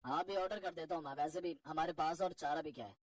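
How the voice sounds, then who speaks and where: A man's voice: a little high, fast talker, no room sound, far from the mic, lightly acted. A man voicing a fed-up, stern adult son with a curt, reproachful manner in a family cartoon. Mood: resigned. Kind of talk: Hindi family cartoon voice acting.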